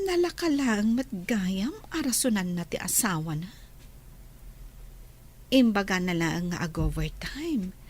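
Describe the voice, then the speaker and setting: Seductive female co-worker, Ilocano accent, slow coaxing pace, breathy edge, radio drama style. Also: medium pitch, full character voice. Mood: gloating. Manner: coquettish, coaxing, drawn-out delivery. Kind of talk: Filipino radio drama acting.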